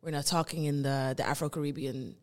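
A young woman from the Netherlands, a guest performing artist, speaking reflectively and searching for her words with many pauses. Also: low voice, steady tone, long reverb tail. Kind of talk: reflective conversation.

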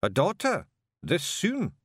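A man voicing a tiny, fierce male pixie clan chief with a gruff, blustering Scottish accent. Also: on the high side, huge pitch swings, slow, a bit bright, no room sound, clean studio sound, voice pushed up, full character voice. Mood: earnest. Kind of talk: audiobook character voice.

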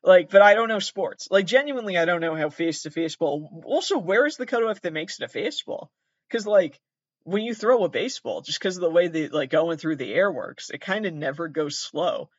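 A man's voice: high-pitched, animated, a little fast, no echo, lo-fi sound. A man hosting comedic commentary on pranks, rambling, swearing and joking at his own expense. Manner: rambling, swearing, self-deprecating.

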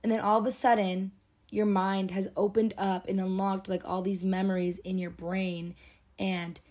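A woman's voice: mid-range voice, little pitch movement, moderate pace, darkish tone. A woman, hosting her own podcast in a rambling, confessional chat full of fillers. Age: 20s. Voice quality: raspy. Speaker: podcast host. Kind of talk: casual solo podcast monologue.

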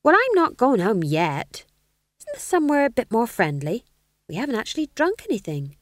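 An eager, upbeat young lad, as a woman narrator puts him on keeps pushing to find the next place to go. He pitches up bright and lively in a close, dry recording. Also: moderate pace, dry recording. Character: Tom John, eager young man out for fun.

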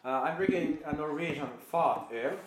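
A man's voice: a little high, a little fast, noisy background. Finnish man chatting in an echoey room. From Finland. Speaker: podcast co-host. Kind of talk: casual chat over beer. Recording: echoey room, amateur recording.

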